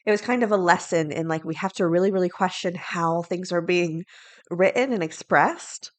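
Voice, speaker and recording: A woman's voice: lowish voice, normal speed. A woman hosting a podcast solo, talking casually and chattily, with informal asides and items read aloud. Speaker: podcast host.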